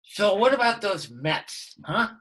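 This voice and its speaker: A man's voice: high-pitched, very animated, measured pace, sharp tone, full character voice, rough recording. A man reading the part of an earnest, goofy suburban dad at a sitcom table read.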